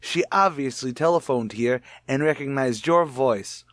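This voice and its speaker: A pushy husband acted by a man confronting a rival in a radio mystery. He is accusing and insistent, in a medium-pitched voice recorded dry. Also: measured pace, fairly bright tone, no echo, natural voice. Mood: accusing, heated. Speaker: actor. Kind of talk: radio mystery drama acting.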